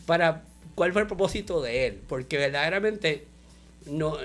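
A man, co-hosting a casual show review chat, animated and mixing in English words. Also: a little high, moderate pace, faint music behind. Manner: animated, mixes English words, laughs often. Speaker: podcast co-host.

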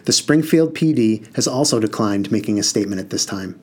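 A man's voice: lowish voice, expressive, fairly quick. A man reading as a formal TV news anchor. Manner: formal newsreader delivery.

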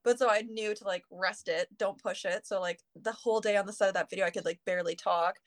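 A woman's voice: mid-range voice, fairly even intonation, quick pace. A young woman in her early twenties talks as a guest in an easygoing interview. Her voice sits in a middle register for a woman. She rambles freely and drops "like" between nearly every phrase. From Canada. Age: early 20s. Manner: chatty, heavy use of "like" fillers. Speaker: singer, guest. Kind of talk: casual interview chat.

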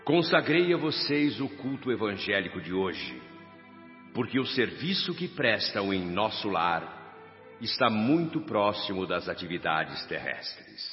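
Radio drama role: noble elderly statesman, oratorical and grave, dull recording with long reverb over music. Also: fairly low pitch. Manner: solemn, measured, oratorical. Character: noble, dignified old male spirit governor addressing his workers. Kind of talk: radio drama acting, formal speech.

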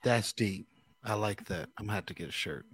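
A man's voice: lowish voice, fairly quick, a bit bright, slightly rough, dry recording. A man with an American accent hosting a radio interview in an informal, encouraging, slangy way. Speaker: gospel radio host. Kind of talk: casual interview chat.

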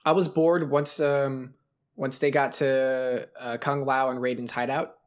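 Podcast co-host, mid-pitched voice, casual, rambling film critique. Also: little pitch movement, unhurried. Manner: analytical, rambling with fillers. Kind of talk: casual film review chat.

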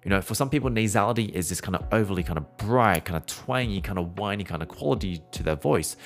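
A man teaching singing in a relaxed, conversational way, now and then humming or holding vowels to show a point. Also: fairly low pitch, quick pace, faint music behind. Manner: conversational, demonstrates with hums and held vowels. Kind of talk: explanatory singing lesson, podcast.

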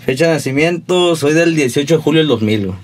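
A man's voice: on the high side, animated, fast, a little hiss or hum. A man in his twenties from Sonora with a Sonoran accent, a musician guest telling his story in a laid-back, slangy, rambling way. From Sonora, Mexico. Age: 20s. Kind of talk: casual interview storytelling.

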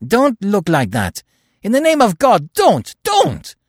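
Man playing volatile criminal workman, high and agitated. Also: wildly swooping pitch, slow pace, no room sound, studio quality, heavily acted. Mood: terrified. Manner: exclamatory, agitated. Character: volatile, brutish foreign criminal workman, easily terrified.

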